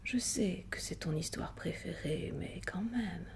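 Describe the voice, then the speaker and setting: A woman playing a gentle bedtime storyteller in an ASMR roleplay, whispering with a creaky edge. She is tender and indulgent, as if settling a child to sleep, and takes her time over every phrase. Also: lowish voice. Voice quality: whispered, breathy with creaky edges. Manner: soft, unhurried, tender.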